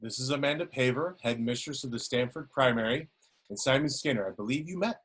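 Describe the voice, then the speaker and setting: A man playing a genial, pompous village dignitary in a script table read, formal and a little halting. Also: medium pitch, fairly bright tone, slightly rough.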